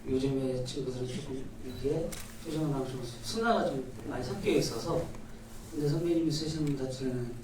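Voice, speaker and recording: Measured male director, very echoey hall. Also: medium pitch. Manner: measured, explanatory. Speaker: film director. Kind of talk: press conference answers. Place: cinema hall at a press screening. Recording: very echoey hall, distant mic, noisy.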